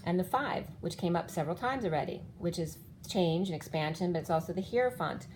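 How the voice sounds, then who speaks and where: A fifty-something woman talks through a tarot spread in a meandering, card-by-card way, her voice slightly low and now and then straining as it starts to give out. A faint hiss of background noise sits under her speech. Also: expressive, a little fast, darkish tone, poor audio. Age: 50s. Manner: rambling, explaining cards one by one. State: losing her voice. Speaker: tarot reader. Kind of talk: spiritual tarot reading monologue.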